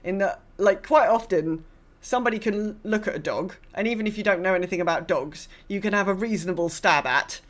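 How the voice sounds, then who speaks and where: A woman in her mid-forties co-hosting a podcast, chatting casually in a rambling, self-deprecating and expressive way. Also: mid-range voice, fairly quick. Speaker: podcast co-host. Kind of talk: casual chat.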